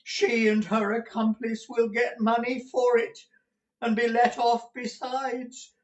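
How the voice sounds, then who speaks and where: A shrill, frantic old usurer in a storybook reading. He wails and repeats himself in panic, pitched very high. The sound is roomy, a little away from the mic. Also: completely flat delivery, slow, highs cut off. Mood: frantic despair. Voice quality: shrill, wailing. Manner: panicked, repetitive lamenting. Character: shrieking, frantic old male miser and usurer. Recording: roomy echo, distant mic.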